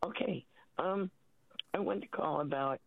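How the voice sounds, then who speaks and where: Husky-voiced woman calling in by phone. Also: deep voice, dry recording. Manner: halting, rambling with many restarts. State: hoarse after a cold. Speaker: caller. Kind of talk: casual call-in chat. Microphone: telephone. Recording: dry tinny phone line.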